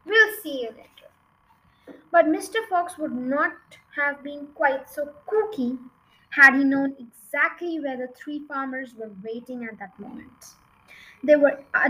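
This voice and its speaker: High, bright-voiced woman reading a story. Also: low-quality recording. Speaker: audiobook reader. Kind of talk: storybook narration read aloud.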